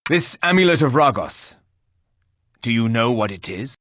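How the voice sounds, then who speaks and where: A man voicing a gruff, sardonic warrior hero in a video game, speaking in a formal, deadpan, husky way. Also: mid-range voice, moderate pace, no echo, studio quality. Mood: curt.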